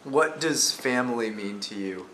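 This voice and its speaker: A man's voice: medium pitch, animated, measured pace, sharp tone. Young male interviewer, echoey room. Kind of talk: casual interview questions. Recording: echoey room, faint noise.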